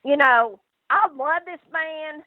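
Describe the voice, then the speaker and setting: Halting woman on a tinny phone line. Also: sits high, no room sound. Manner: halting, hesitant, repeats herself. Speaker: prank call recipient. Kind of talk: unscripted phone conversation and voicemail. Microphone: telephone.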